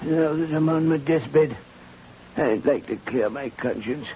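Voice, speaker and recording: An ailing old man confessing on his deathbed in an old radio play. He speaks very slowly and gravely, with a creaky edge, heavy with remorse. The recording is muffled and hissy. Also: fairly high pitch. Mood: remorseful. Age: older. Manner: slow, confessional, weighty delivery. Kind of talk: 1940s radio drama acting.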